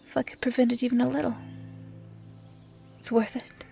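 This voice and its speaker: A woman playing a gentle dryad who protects a forest, speaking in soft, hushed, halting tones in an intimate roleplay audio drama. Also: a little high, little pitch movement, vocal fry, faint music behind. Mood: soft, earnest.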